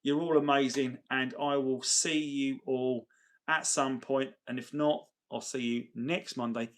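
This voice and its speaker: Tired man, slightly gravelly. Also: mid-range voice, steady tone, a little slow. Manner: chummy, grateful to viewers. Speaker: livestream host. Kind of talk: casual livestream chat, reading and answering viewer messages.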